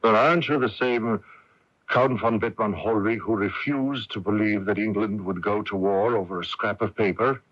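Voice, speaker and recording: An actor playing a stubborn, idealistic Irish patriot in a radio drama, earnest and resolute. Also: male voice, on the low side, steady tone, normal speed, close to an everyday voice. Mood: skeptical, challenging. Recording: muffled AM radio broadcast tape.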